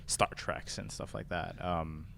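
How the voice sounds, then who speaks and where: A man's voice: low-pitched, steady tone, a little slow, gravelly, fairly bright tone, a little hiss or hum. A man on a podcast panel, chatting casually in a hesitant manner. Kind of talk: casual group chat.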